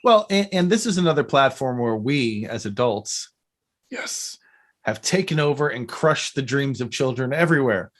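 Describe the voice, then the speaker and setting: A man's voice: medium pitch, expressive, normal speed, a bit bright. A man hosting a podcast in casual chat, joking and rambling. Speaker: podcast host.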